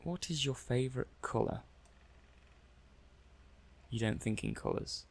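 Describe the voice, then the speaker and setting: A man playing a hesitant, curious robot technician in an ASMR roleplay, halting and musing aloud. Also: mid-range voice, creaky, slight background noise. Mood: puzzled, musing. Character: hesitant, curious male robot technician running software tests. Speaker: audio roleplay performer. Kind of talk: soft-paced ASMR roleplay monologue.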